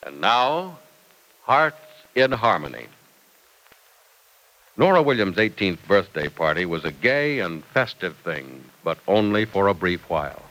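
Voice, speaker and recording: A man's voice: low-pitched, big pitch swings, unhurried, long pauses, fairly bright tone, rough recording. A man announcing in old-time radio style, reading a script with emphatic, polished salesmanship.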